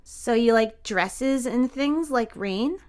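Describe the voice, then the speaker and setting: A woman's voice: on the high side, takes it slowly, a little mellow, pitched above the performer's own voice. A woman voicing a sad, innocent little boy with a hesitant, stammering manner in an audio story. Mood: curious.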